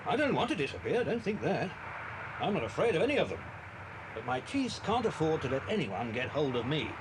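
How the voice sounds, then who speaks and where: Avuncular detective inspector, very animated, fairly high pitch, noisy old cassette drama. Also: male voice, fairly quick. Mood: reassuring. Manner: hearty, reassuring, avuncular. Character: kindly, brisk detective inspector, children's adult friend. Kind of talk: 1980s children's audio drama acting. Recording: hissy old cassette with vehicle rumble.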